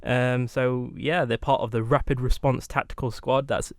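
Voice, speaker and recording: A man speaking haltingly in a casual panel debate, often repeating words as he searches for the next one. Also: medium pitch, little pitch movement, dry recording, studio quality.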